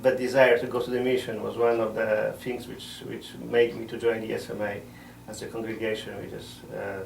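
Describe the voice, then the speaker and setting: A middle-aged man, a missionary priest answering interview questions in a measured way, often pausing to find words. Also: fairly low pitch, flat delivery, vocal fry, slight background noise. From Poland. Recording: roomy sound from a distant mic.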